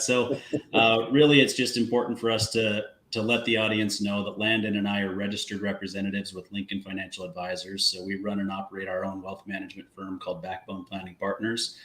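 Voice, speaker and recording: A man appearing as a guest wealth manager, friendly and quick to laugh, explaining things plainly. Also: on the low side, a bit bright, echoey room. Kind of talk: casual interview chat.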